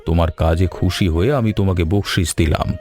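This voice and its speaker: A man playing a generous, approving gentleman and patron in a cartoon story. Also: low-pitched, fairly even intonation, quick pace, close to an everyday voice. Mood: pleased. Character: generous, approving gentleman who employs a weaver.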